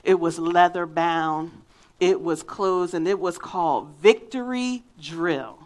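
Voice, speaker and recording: Slow-speaking woman preacher, faint Philadelphia accent. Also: fairly low pitch, a little mellow. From Philadelphia, USA. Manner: earnest, emphatic, unhurried. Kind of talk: sermon with personal storytelling.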